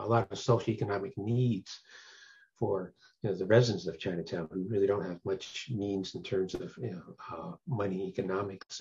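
Husky older man, halting with fillers. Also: lowish voice, darkish tone, highs cut off. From San Francisco. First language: Toishanese/Cantonese. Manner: rambling, many filler pauses. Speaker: physician guest. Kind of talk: panel self-introduction.

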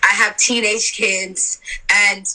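A woman's voice: medium pitch, little pitch movement, slow, pushed and bright, rough recording. A middle-aged mother interviewing a guest, gushing and enthusiastic. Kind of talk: casual interview.

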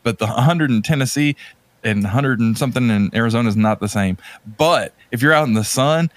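A man with a mid-pitched voice chats casually as a podcast guest, recorded dry and close. He rambles along in loose, slangy phrases with a jokingly exasperated manner. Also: brisk, no room sound. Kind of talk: casual chat.

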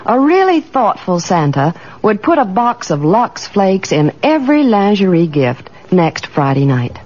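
A woman's voice: mid-range voice, sing-song, a little slow, a little mellow. A woman delivering scripted advertising dialogue in the style of 1940s radio. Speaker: commercial spokeswoman. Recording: muffled old radio recording.

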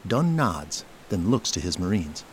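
A man reading scripted narration for an audio drama in a measured, descriptive storytelling manner. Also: deep voice, sing-song, slight background noise. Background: prominent music.

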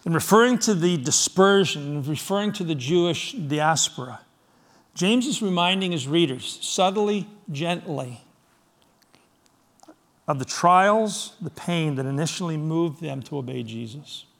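A middle-aged man from Scotland preaching a sermon to his congregation, firing rhetorical questions, swinging from loud emphasis to soft, low asides. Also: expressive, measured pace, creaky. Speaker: pastor.

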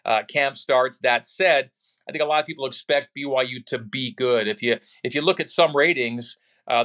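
Casting: middle-aged man from Canada, play-by-play broadcaster as a guest, mid-pitched, steady and analytical. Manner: measured and analytical, with small restarts. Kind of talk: podcast interview analysis.

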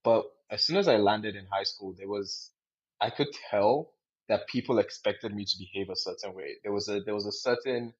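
A young man from Nigeria with a fairly low, raspy-edged voice co-hosts a casual discussion. He tends to restate his phrases as he thinks them through. The recording is a little dull and lo-fi. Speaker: podcast co-host. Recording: dull, lo-fi sound.

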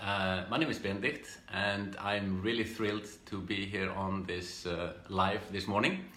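A middle-aged Icelandic man with a fairly low, bright, forceful voice speaks informally in a roomy, echoing space. His English carries an Icelandic accent, and he moves haltingly through his recollections, often repeating a word before going on. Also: steady tone. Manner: halting, with repeated words and restarts. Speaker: speaker giving a business training talk. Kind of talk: informal live talk, personal recollections.

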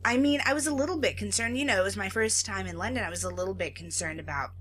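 An American woman co-hosting a podcast in casual chat, chatty and quick to laugh. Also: medium pitch, slight background noise. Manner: chatty, laughs often, excited retelling. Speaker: podcast co-host.

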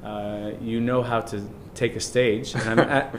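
A male host leads a casual interview in a friendly tone, dropping short agreements between his questions. His voice sits at a middling pitch, with a soft rumble of background noise. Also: fairly even intonation, measured pace. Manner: friendly, with short backchannel replies. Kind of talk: conversational interview.

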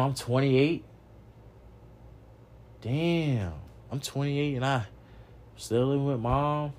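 A young man hosting a podcast, giving a preachy motivational monologue, repeating himself and swearing casually. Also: mid-range voice. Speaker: podcast host.

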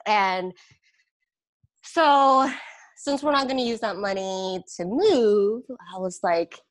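A woman's voice: medium pitch, slow pace, no room sound. A woman guest, an aerial yoga instructor, chatting casually on a video call and filling her talk with "like" and laughter. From Philippines, raised in Pennsylvania. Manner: chatty, warm, many "like"s and laughs. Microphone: computer video call.

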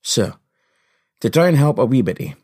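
A man's voice: medium pitch, very animated, moderate pace, darkish tone, slightly rough. A Scottish man hosting a story podcast, narrating in the first person in a folksy, confiding way.

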